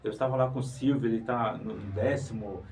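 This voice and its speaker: A man's voice: mid-range voice, steady tone, fairly quick, darkish tone. A man from northern Brazil giving an interview, explaining with rhetorical questions and an emphatic, teacherly manner. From Pará, Brazil. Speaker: guest, writer and museologist. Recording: distant mic with faint noise.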